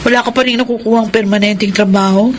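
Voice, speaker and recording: With faint noise behind her, a woman plays an anxious Filipino housewife at a medium pitch. She is timid yet nagging, in the manner of a radio drama. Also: fairly even intonation, fast talker, natural voice. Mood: tentative. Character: worried, timid but nagging young wife and mother.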